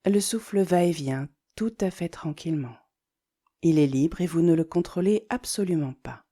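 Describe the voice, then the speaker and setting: Woman doing guided meditation, really low soft-toned mellow voice, slow and soothing, lots of pauses. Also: husky. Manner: calm, soothing, measured, with deliberate pauses. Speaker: yoga nidra guide.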